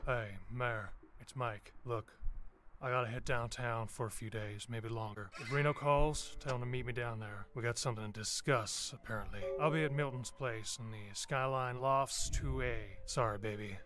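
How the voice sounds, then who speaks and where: An actor playing a man leaving a hurried answering-machine message for his partner. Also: mid-range voice, steady tone, lightly acted.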